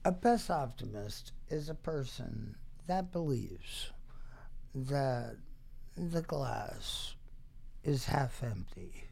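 Very gravelly, slow-talking, wisecracking man. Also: medium pitch, expressive, a little mellow. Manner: halting, joking, wisecracking. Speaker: interview guest, poet. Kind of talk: casual chat.

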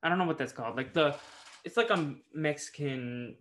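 A man's voice: fairly high pitch, moderate pace, a bit bright, slight background noise. Rambling podcast co-host man. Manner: rambling, many fillers and laughs. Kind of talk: casual chat.

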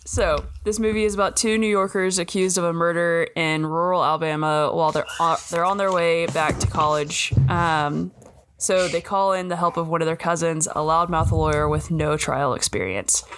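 A young woman with a congested, stuffy voice talks easily on a podcast, laughing softly between thoughts. She speaks a little low for a woman, and a faint hiss sits behind her. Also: moderate pace. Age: young adult. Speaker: podcast co-host. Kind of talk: casual chat.

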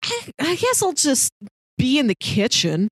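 A woman's voice: sits high, huge pitch swings, a little slow, no echo. A young adult woman co-hosting a podcast, chatting casually in a wry, chatty way with lots of "like" thrown in. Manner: chatty, wry, peppers talk with "like". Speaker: podcast co-host. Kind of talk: casual movie podcast chat.

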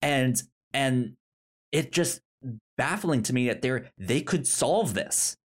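Slow, halting podcast co-host. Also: medium pitch, lively intonation. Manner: halting, many "like"s and restarts, slow and musing. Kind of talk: casual chat reviewing a TV show.

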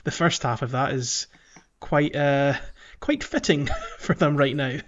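A man's voice: mid-range voice, a little slow. A man co-hosting a podcast, chatting casually about a video game, recorded on a slightly muffled mic. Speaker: podcast co-host.

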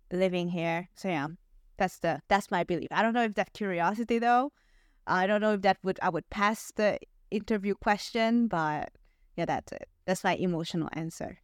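A woman's voice: fairly low pitch, no room sound, clean studio sound. Chatty young woman podcast host. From Hungary. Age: 20s. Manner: chatty, laughs often, many fillers. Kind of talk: casual interview chat.

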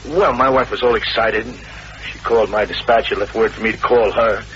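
A man's voice: fairly low pitch, fairly even intonation, brisk, fairly bright tone, natural voice. A male actor plays a worried young working-class father. He talks in a colloquial, hesitant way in an old radio drama. The recording is muffled and lightly noisy. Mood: earnest, uneasy. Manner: hesitant, plain-spoken, colloquial.

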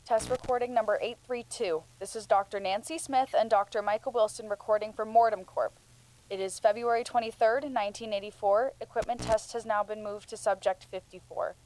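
A composed female scientist played by a woman in an audio drama. Her medium-pitched voice is measured and formal, carrying firm authority with a gentle side as she questions and explains. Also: fairly even intonation, slight background noise, natural voice, poor audio. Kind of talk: student audio drama acting.

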